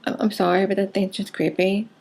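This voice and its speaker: A woman reading a children's Christmas poem aloud as an amateur storyteller, in an expressive, informal way. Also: medium pitch, fairly quick, vocal fry.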